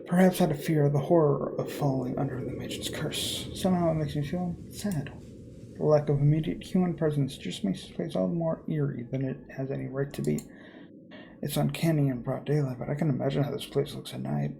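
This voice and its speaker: A man reading a first-person horror story aloud as a let's player, in a measured, theatrical way. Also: a little high, steady tone, gravelly, noisy background.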